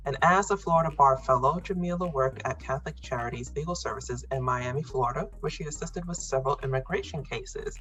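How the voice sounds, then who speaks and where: A woman podcast host with a husky voice, giving scripted introductions and interview questions. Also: extremely low pitch, a little fast, few pauses, a bit bright, soft background music, poor audio.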